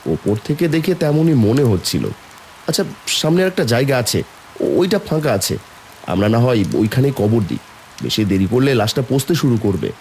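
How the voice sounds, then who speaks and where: A man's voice: medium pitch, big pitch swings, normal speed, a little mellow, slight background noise. A man narrating a horror story in the first person as a somber young man, brooding and suspenseful. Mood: uneasy. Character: somber young man recounting an eerie burial.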